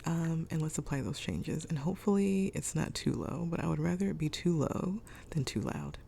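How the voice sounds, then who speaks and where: A young woman talks through a game session in a low, gravelly voice, made raspy by a cold she is getting over. Her tone is mellow and dark, and she thinks aloud with frequent ums. A faint hiss of noise runs under the mic. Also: big pitch swings, normal speed. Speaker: gaming YouTuber. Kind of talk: casual let's-play commentary. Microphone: desk microphone.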